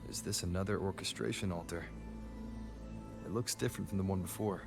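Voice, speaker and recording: Thoughtful young game hero, lowish voice, earnest and measured, very echoey with music under it. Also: male voice, gravelly. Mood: pondering. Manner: measured, pondering aloud, articulate. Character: earnest, thoughtful young male adventurer piecing clues together. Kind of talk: video game voice acting, story dialogue. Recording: echoey hall reverb, music behind.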